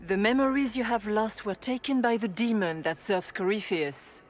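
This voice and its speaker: A woman playing a serene spirit in the guise of a holy leader, speaking in a measured, formal way for a video game. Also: medium pitch, close to an everyday voice. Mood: solemn, calm.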